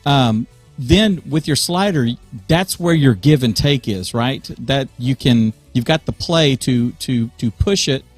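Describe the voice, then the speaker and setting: A man's voice: mid-range voice, a little slow, no echo, faint music behind. Man explaining in a dry, close voice. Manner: conversational, explains with everyday examples. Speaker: church media co-host. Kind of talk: casual podcast chat.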